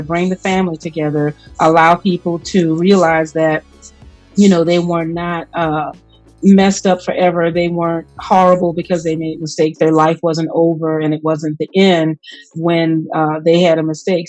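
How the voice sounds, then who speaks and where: A woman with a fairly low voice hosts a conversational interview. She feels her way through long, meandering thoughts. The sound is dry and close, with soft music in the background. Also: no room sound, rough recording. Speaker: show host, interviewer.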